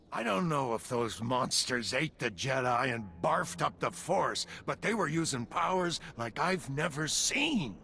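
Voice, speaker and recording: A man's voice: mid-range voice, creaky, pushed and bright, full character voice. An actor playing a jaded, sardonic male soldier who talks in slang in a video game. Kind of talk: video game cutscene acting. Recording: faint background ambience noise.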